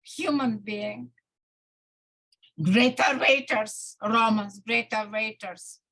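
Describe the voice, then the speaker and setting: Russian accent woman, acting teacher, slow careful talk, bright voice. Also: a little high. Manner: searching, fragmented phrasing. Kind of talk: conversational discussion.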